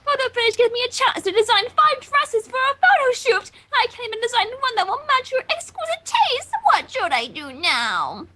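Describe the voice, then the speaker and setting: A woman's voice: extremely high pitch, big pitch swings, normal speed, hardly any pauses, sharp tone, slight background noise, voice pushed up, full character voice. A woman voicing a dramatic, fussy grown female pony fashion designer in a theatrical, exclaiming way. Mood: melodramatic despair.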